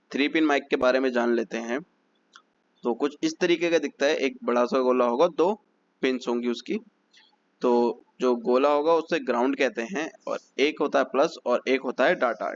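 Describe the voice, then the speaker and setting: A man explains a repair procedure one step at a time, repeating each instruction plainly. His voice sits at an ordinary middle pitch. The recording is a little dull and carries a faint hiss. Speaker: phone repair teacher. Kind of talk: step-by-step technical lesson.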